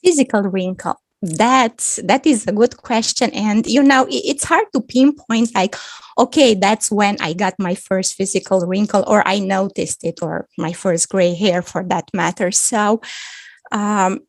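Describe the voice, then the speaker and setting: A woman in her fifties from Romania, speaking with a Romanian accent as a guest on a video-call chat, thoughtful and quick to laugh. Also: medium pitch, no room sound. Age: 50s. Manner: slow, thoughtful, laughs often. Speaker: guest author. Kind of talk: casual video-call interview.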